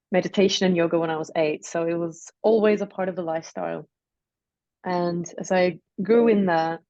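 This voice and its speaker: A woman's voice: lowish voice, dark tone, dry recording, dull audio. A woman from Turkey in her thirties with a Turkish accent, speaking as a guest coach in a reflective, searching way. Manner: reflective, searching phrasing with fillers. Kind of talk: relaxed interview.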